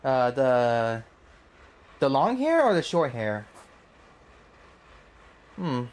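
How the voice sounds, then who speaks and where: A woman's voice: extremely low pitch, big pitch swings, very slow, a little mellow. A woman livestreaming a game with casual, slangy, exclamatory reactions and commentary. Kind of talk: casual live gameplay commentary, reading dialogue choices. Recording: game music behind.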